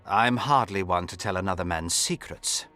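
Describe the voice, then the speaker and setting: A man's voice: sits low, normal speed, sharp tone, soft background music. A man playing a pompous, sly aristocratic count in a video game, speaking in a theatrical, florid courtly manner with mocking, suggestive emphasis. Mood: sly, evasive. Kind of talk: video game character dialogue.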